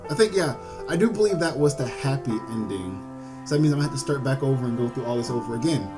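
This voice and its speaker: Male gaming host, mid-pitched, reads and comments haltingly, repeats phrases, clear game music underneath. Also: lively intonation. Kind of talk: visual novel let's play, reading aloud and commenting. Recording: music behind the voice.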